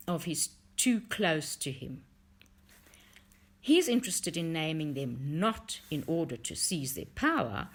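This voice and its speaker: A woman reading a book aloud in an audiobook style, with measured, deliberate phrasing. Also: lowish voice. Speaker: reader for a book study group.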